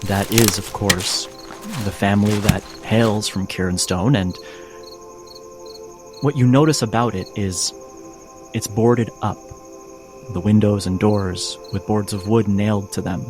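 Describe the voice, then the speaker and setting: A man narrating as game master in the second person, measured and atmospheric. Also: fairly low pitch, steady tone, soft background music. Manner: measured, scene-setting.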